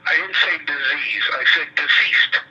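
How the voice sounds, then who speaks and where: A man's voice: fairly high pitch, moderate pace. A man working as a funeral director, answering a phone call politely but firmly, calling the caller sir. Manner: polite, patient, firm, says sir often. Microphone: telephone line. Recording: dull phone line with faint hiss.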